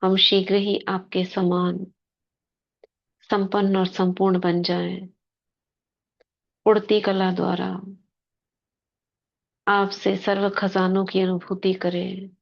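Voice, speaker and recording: A woman leading a guided spiritual meditation, speaking in short, measured devotional phrases with pauses between them. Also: mid-range voice, completely flat delivery, gravelly, muffled recording. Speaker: meditation leader.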